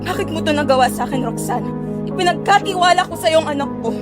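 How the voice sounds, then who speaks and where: A woman's voice: high voice, fast, natural voice. A woman playing a furious, defiant mother fighting for her child in a melodramatic audio drama. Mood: outraged. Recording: voice under loud music.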